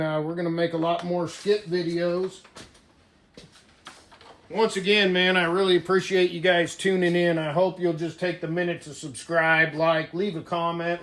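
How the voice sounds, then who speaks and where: An American man chatting casually and in a folksy way as he hosts a hobby radio video. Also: on the high side. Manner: folksy, says "man" often. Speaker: CB radio operator and video host. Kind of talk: casual hobby vlog chat. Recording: roomy echo.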